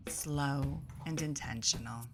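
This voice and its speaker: A woman leading a guided meditation in a soothing, deliberate way, pausing between phrases, her soft voice turning breathy as phrases end. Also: extremely low pitch. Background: instrumental music.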